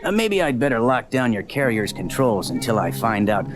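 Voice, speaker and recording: Man as gruff, suspicious dock supervisor. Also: mid-range voice, very animated, normal speed, natural voice. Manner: blunt, bossy, hesitating with suspicion. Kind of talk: audio drama acting.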